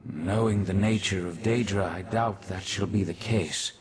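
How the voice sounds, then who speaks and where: Ancient sage-like demigod for fantasy game. Low register, solemn, measured, formal, faintly weary; crowd murmur behind. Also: male voice. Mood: grave, reflective. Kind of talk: scripted fantasy game dialogue.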